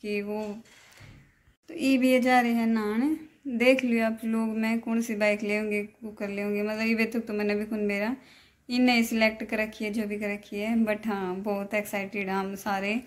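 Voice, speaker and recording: A woman's voice: mid-range voice, level tone, moderate pace. A woman in her twenties with a Haryanvi accent, chatting casually to a phone held at arm's length for a family vlog. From Haryana, India. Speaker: family vlogger. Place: tiled room in a house, indoors.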